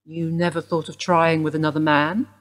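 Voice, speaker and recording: An actress playing a woman detective who questions a suspect in a brisk, formal way. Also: sits low, little pitch movement, lightly acted. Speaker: police detective. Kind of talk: radio play acting.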